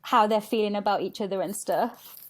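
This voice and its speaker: A woman's voice: medium pitch, brisk, a little hiss or hum. A woman guest on a podcast, chatting informally and peppering her talk with "like". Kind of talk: casual chat.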